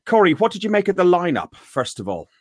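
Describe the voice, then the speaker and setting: A man's voice: high voice, wildly swooping pitch, fast, no room sound, studio quality. An Irish man hosting a casual fan chat show, talking in a rambling way with many restarts. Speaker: podcast host.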